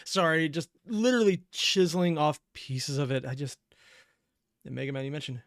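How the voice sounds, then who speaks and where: A man's voice: a little high, steady tone, moderate pace, dry recording, clean studio sound. A man hosting a reaction video, giving casual running commentary in a musing, questioning, wry way.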